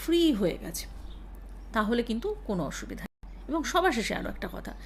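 A woman, a singing teacher calmly explaining practice routines to her students. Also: fairly high pitch, brisk, creaky, slight background noise. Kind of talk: casual spoken lesson.

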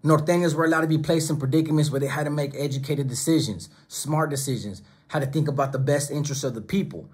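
A man's voice: a little high, fast, hardly any pauses, darkish tone, slightly rough. A middle-aged man hosting his own channel, talking straight to the camera from a small home studio in an emphatic, preachy way, often stacking phrases in lists. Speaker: YouTube channel host. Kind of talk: direct-to-camera commentary. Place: small home studio room with monitors and speakers. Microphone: close camera, seated at desk.

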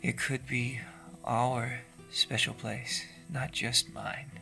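A man's voice: mid-range voice, level tone, takes it slowly, creaky, heavily acted. A man playing a lonely, lovelorn youth in an audio drama monologue, wistful and confiding. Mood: dreamy, devoted. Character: lonely, lovelorn, secretive youth musing alone. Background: soft plucked guitar music.

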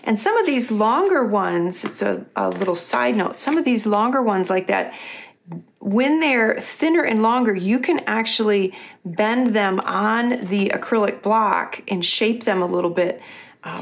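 A middle-aged woman, a little husky and losing her voice, chatting casually as she walks through her planner pages. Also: mid-range voice, animated, moderate pace, a little hiss or hum. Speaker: craft company host. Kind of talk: casual craft tutorial voice-over.

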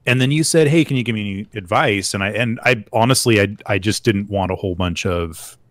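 A man with a fairly low voice talks in a relaxed, joking way, often trailing off with ums. The sound is dry and close to the mic, like a home streaming setup. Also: a little fast, no echo. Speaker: gaming channel host. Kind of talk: casual co-op gameplay chat.

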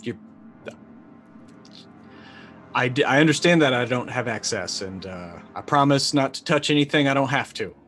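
A man's voice: medium pitch, animated, brisk, fairly bright tone, soft background music, close to an everyday voice. A man playing an anxious, parched crash survivor in a tabletop game, stammering and insistent. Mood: placating.

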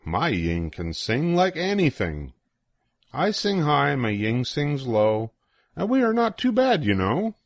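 A man's voice: medium pitch, huge pitch swings, slow, no echo, studio quality. An older man reading a children's rhyming picture book aloud in a playful, sing-song way with swooping emphasis. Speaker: storybook reader.

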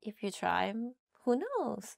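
A woman's voice: mid-range voice, huge pitch swings, a little slow, gravelly, no room sound, clean studio sound. A young woman with a Thai accent from Thailand, teaching in a casual chat to camera and often saying "like". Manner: chatty, says "like" often, reads Thai phrases then translates. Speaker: language teacher. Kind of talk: casual language lesson to camera. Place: indoor studio, plain backdrop.

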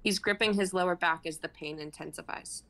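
A woman's voice: fairly low pitch, brisk, fairly bright tone. A woman in her twenties reading stage directions aloud as narrator in a dramatic script reading. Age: 20s. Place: home room on a group video call.

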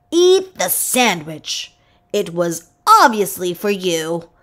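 A woman voicing an earnest, boastful pet dog in a children's picture book, with emphatic, drawn-out delivery. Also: medium pitch, a bit bright, exaggerated voice.

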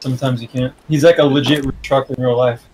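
Male game streamer, mid-pitched, loose sweary chat, faint noise behind him. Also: fairly even intonation, fast, darkish tone. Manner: swears freely. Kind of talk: casual chat.

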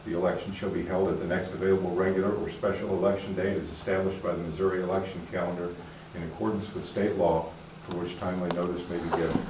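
Deep-voiced man reading aloud, far off. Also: quick pace, few pauses. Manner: steady formal reading. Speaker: commission member. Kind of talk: reading a legal text aloud. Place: large meeting room indoors. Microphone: far camera. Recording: very echoey, distant room sound with noise.

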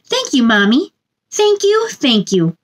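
A woman in her thirties doing a children's read-aloud, with a gentle sing-song delivery and chatty asides addressed to young listeners. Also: high-pitched, very animated, slow. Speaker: children's storytime host reading a picture book aloud. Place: small indoor room.

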